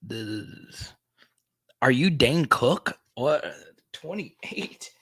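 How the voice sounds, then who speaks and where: A man's voice: medium pitch, expressive, drawn-out words, bright, forceful tone, breathy edge, dry recording. A man in his thirties hosting a sports podcast livestream, chatting casually as he reads viewer comments, laughing often and tripping over restarts. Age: 30s.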